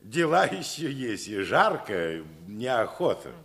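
An actor playing an easygoing, wry army commissar in 1950s Soviet radio drama style, on a noisy old recording. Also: male voice, mid-range voice, very animated, slow pace, fairly bright tone, full character voice. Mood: mock-obedient. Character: easygoing, wry regimental commissar, teasingly obedient husband.

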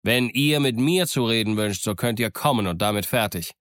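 A man's voice: on the low side, normal speed, fairly bright tone, no room sound, studio quality, natural voice. Man playing a stern, commanding ship's captain. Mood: curt, defiant. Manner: clipped, commanding, curt. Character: stern, brusque male ship's captain giving orders.

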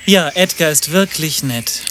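A man's voice: a little high, expressive, a little slow, a bit bright, a little hiss or hum. An actor in a radio play playing a sardonic, self-pitying man with a dry, ironic way of talking. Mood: mocking. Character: sardonic, self-pitying lovelorn man narrating his own misery. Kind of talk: radio play narration and dialogue.

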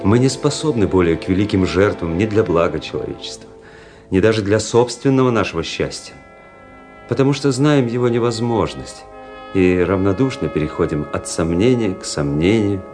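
An actor playing a weary, cynical young army officer who narrates a radio drama in a measured, introspective way. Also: male voice, on the low side, lively intonation, close to an everyday voice. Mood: brooding, weary. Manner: introspective, world-weary, measured literary delivery. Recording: music under the voice.

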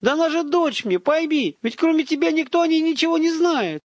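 A man's voice: extremely high pitch, animated, slow, a little mellow, no echo, pitched above the performer's own voice, full character voice. A man voicing a desperate father searching for his missing daughter, imploring and flustered, near tears, in an audiobook. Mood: distraught.

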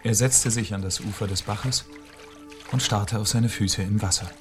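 A man's voice: lowish voice, moderate pace, close to an everyday voice. A man narrating a fairy tale for a radio drama in a scripted storytelling style. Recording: music behind the voice.

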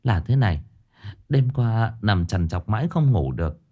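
A man voicing a frightened older village wife who stammers and rushes her words. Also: lowish voice, animated, dark tone, slightly rough. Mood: fearful. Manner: stammering, hurried and fearful.

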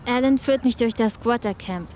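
An actress playing a composed, curious radio reporter, narrating and interviewing in a documentary-style drama. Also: female voice, a little high, moderate pace, a little mellow, slight background noise, close to an everyday voice.

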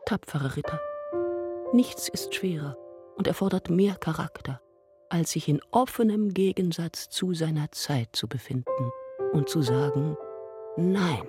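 An actress playing a knight's adoring muse in a radio drama, reverent, hushed and theatrical. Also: female voice, low voice, unhurried, faint music behind. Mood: adoring, solemn.

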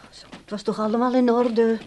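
An actress playing an uneasy, self-interested adult daughter in a radio play, with a hesitant, stammering delivery. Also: female voice, a little high, level tone, brisk, mellow, slight background noise, natural voice. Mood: busy, distracted.

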